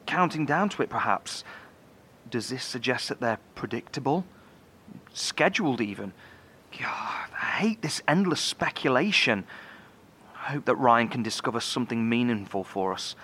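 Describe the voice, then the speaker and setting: Over faint rumbling noise comes a mid-pitched male voice, restless and questioning. An actor plays a troubled, inquisitive survivor brooding in a bunker in a horror audio drama. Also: sing-song, normal speed, pushed and bright. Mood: pondering, frustrated.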